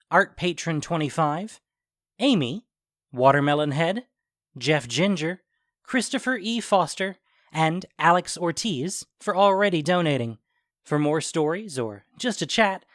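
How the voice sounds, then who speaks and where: A man narrating a scripted fantasy story dramatically, with expressive, deliberate phrasing. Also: a little high, dry recording, clean studio sound.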